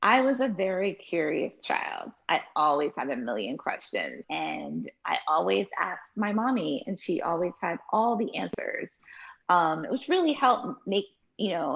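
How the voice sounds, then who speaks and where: A woman reading her story aloud, her voice gravelly and moving through a wide, lively pitch. The delivery is expressive. The recording is dull and lo-fi. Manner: expressive storytelling, relaxed with fillers when answering. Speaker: children's book author reading her story. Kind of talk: read-aloud storytelling, then interview answers.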